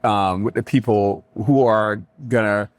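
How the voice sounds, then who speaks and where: A middle-aged man from Jamaica talking reflectively as an interview guest, pausing often mid-thought. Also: on the low side, fairly even intonation, no room sound. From Kingston, Jamaica. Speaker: restaurateur and community leader, podcast guest.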